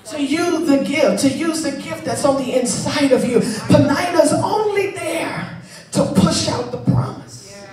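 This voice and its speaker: A woman's voice: sits high, measured pace. Woman preaching in an echoey sanctuary. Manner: conversational preaching that builds to shouting, playful asides. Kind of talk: sermon. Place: large church sanctuary, stage. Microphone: handheld microphone. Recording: echoey hall, far mic, crowd responses. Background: congregation calling back.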